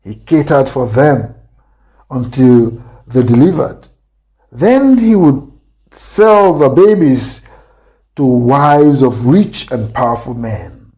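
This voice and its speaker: Male storyteller from Africa, mid-range pitch, dark mellow tone, deliberate scripted narration. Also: big pitch swings, rough recording. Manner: deliberate, pausing mid-sentence.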